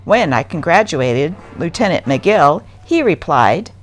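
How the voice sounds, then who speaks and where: A woman's voice: deep voice, sing-song, measured pace. A woman hosting and reading old newspaper articles aloud as scripted narration. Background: music.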